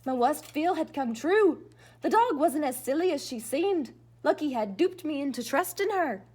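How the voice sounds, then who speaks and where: Lady playing a grumpy old leprechaun guy from a storybook, boastful, grumbly, high voice, very up n down. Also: expressive, normal speed, a little mellow. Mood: betrayed.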